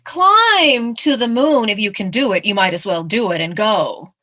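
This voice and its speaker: A woman voicing a goading bird with a loud, emphatic, daring manner in a children's picture-book read-aloud. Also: mid-range voice, animated, normal speed, no room sound, clean studio sound.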